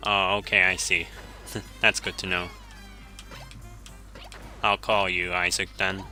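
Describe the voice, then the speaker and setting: A man's voice: low-pitched, flat delivery, slow pace, pushed and bright, soft background music. A man whose first language is Japanese, chatting easily while streaming a game, chuckling often and correcting himself as he answers viewers. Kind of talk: casual gameplay commentary, reading chat.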